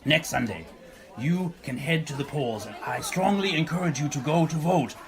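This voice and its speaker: An actor playing a pompous president giving a rally speech in an audio drama, stammering, repeating himself and coughing often. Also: male voice, fairly high pitch, animated, moderate pace, a crowd in the background. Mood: smug, ingratiating.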